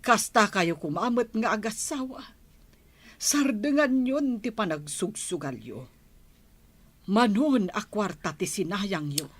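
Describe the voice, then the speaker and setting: A worried, admonishing mother in an Ilocano village radio drama. She speaks slowly in a husky voice with an Ilocano accent, her pitch rising and falling expressively. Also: lively intonation, no room sound.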